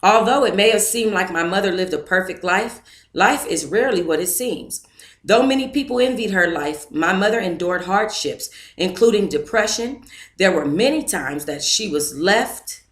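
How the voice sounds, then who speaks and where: A woman's voice: mid-range voice, lively intonation, moderate pace. A woman hosting a book club, reading a book aloud on a live stream and chatting casually with her viewers between passages. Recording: echoey room.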